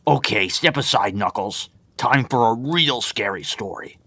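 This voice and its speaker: A man's voice: medium pitch, animated, a little slow, sharp tone, slightly rough, no echo, heavily acted. A man voicing a mischievous, gloating mad scientist villain in a fan cartoon, in a theatrical way. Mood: spooky, theatrical. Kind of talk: fan-film cartoon acting.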